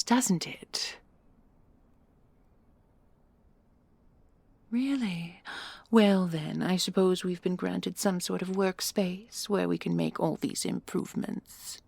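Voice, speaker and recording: A woman's voice: lowish voice, slow pace, creaky, a little mellow, dry recording, exaggerated voice. A woman playing a proud, sardonic commandant and inventor in a sci-fi audio drama, with a dry, haughty manner. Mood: grudging, curious. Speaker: voice actor and creator. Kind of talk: sci-fi audio roleplay acting.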